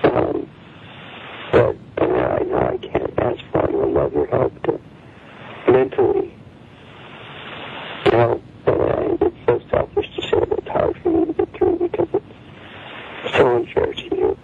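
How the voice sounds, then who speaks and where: A man's voice: mid-range voice, huge pitch swings, a little slow, vocal fry, long pauses. A man pleading and apologizing on a phone call, his voice breaking with tears. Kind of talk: emotional phone conversation. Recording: tinny noisy phone line.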